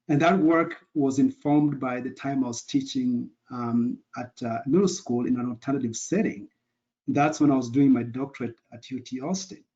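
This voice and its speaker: Middle-aged man, Kenyan accent, mellow and unhurried, earnest interview guest, dull lo-fi audio. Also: medium pitch. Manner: earnest, measured, pauses to search for words. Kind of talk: answering interview questions.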